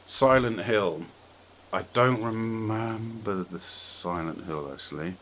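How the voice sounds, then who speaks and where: A man's voice: on the low side, takes it slowly, a bit bright. A man hosting a podcast, chatting casually about films and games in a tentative, rambling way, thinking aloud as he goes. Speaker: podcast host. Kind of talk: casual film and game chat. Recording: clearly audible background noise.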